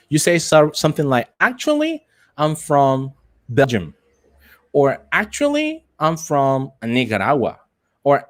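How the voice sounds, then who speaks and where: A man teaches English to call center trainees in a live online class. He repeats his phrases and leans slowly and deliberately on the example sentences. His delivery is that of a teacher prompting learners to respond. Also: mid-range voice.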